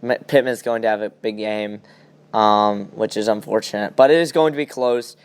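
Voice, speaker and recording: A man's voice: on the low side, steady tone, moderate pace, smooth. A young man hosting a podcast, chatting casually and giving blunt opinions on sports. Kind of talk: casual sports chat, game predictions.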